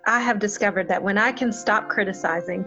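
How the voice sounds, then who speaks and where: Woman pastor talking things through thoughtfully, chuckles at herself, mid-pitched voice, kinda dull lo-fi sound. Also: brisk, music in the background. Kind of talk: reflective interview answer.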